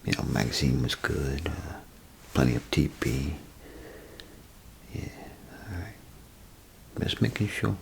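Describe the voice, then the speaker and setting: A man acts out a folksy, attentive bathroom attendant in an ASMR role play. His voice is extremely low and heavily creaky, often dropping into a breathy whisper. He rambles hesitantly and kindly to the listener, over clearly audible background noise. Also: lively intonation, slow, long pauses, exaggerated voice. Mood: friendly, fussing. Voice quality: breathy, gravelly half-whisper. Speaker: ASMR performer.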